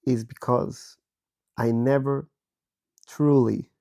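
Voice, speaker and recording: Slow, raspy Israeli man. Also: medium pitch, fairly even intonation, mellow. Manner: reflective, confessional. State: hoarse from a virus. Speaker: podcast host. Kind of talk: personal monologue. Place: in bed at home.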